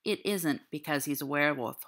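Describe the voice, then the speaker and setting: Low, very bright-voiced woman narrating fiction. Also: a little fast. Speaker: audiobook reader. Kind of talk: scripted literary narration.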